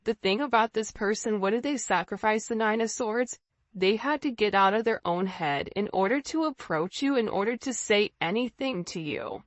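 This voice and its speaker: A woman's voice: fairly high pitch, dry recording, highs cut off. A woman giving an informal tarot reading straight to her viewers, speaking emphatically and conversationally with rhetorical questions. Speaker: tarot reader, channel host.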